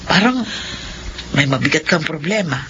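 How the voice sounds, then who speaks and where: Bright-voiced concerned mother. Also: deep voice, animated, moderate pace. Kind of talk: Filipino radio drama acting. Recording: dull lo-fi radio with noise.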